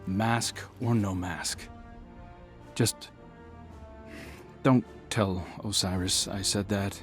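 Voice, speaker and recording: A man's voice: lowish voice, fairly even intonation, drawn-out words, music in the background. An actor playing an earnest young male fighter who reflects on a hard choice. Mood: reflective. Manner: earnest, reflective, quietly defiant. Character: young male guardian, earnest and guilt-stricken. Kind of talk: video game cutscene dialogue. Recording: game score behind.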